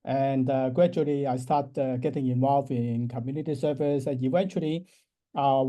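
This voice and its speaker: A man in his sixties from Hong Kong, a professor answering interview questions in a thoughtful, explaining way. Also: medium pitch. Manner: thoughtful, explanatory, frequent restarts and soft laughs. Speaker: university professor, interview guest.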